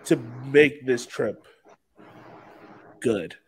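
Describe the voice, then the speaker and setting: A man's voice: mid-range voice, drawn-out words, husky. A man hosting a casual sports talk show, chatting in an informal, joking way. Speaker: podcast host.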